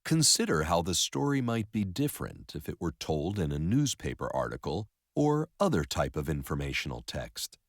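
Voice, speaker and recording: A man narrating a story in an expressive educational read-aloud style. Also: very deep voice, normal speed, hardly any pauses, dry recording, studio quality.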